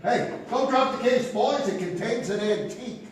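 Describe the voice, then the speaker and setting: A man's voice: very high-pitched, normal speed. A man playing a brash, wisecracking comic sidekick in a stage comedy, with a flippant, punchy delivery. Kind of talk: comic stage radio-play acting. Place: theatre stage before a live audience. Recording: echoey theatre stage from far off, clear noise.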